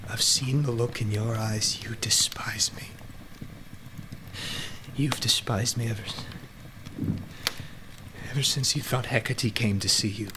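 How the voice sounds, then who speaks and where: An actor playing an anguished, guilt-ridden god of the underworld in a radio play, with halting, emotionally charged delivery. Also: male voice, mid-range voice, steady tone, noisy background, natural voice. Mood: guarded, bitter. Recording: music behind the voice.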